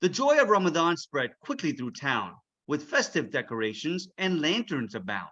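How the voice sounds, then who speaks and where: A man reading a children's storybook aloud as its author, expressive and checking in with his listeners. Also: a little high, moderate pace, dull audio.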